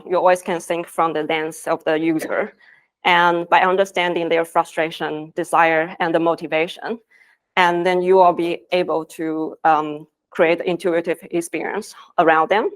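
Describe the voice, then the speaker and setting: Woman giving an earnest talk on stage, voice a bit on the low side. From Taiwan. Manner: earnest, with non-native phrasing. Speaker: conference speaker, design lead. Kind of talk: conference presentation. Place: conference stage.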